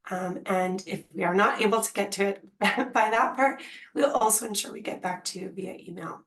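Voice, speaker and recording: A woman's voice: mid-range voice, normal speed, fairly bright tone. A woman who has lost much of her voice, hosting an online information session as an associate dean and enunciating carefully over a video call. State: losing her voice.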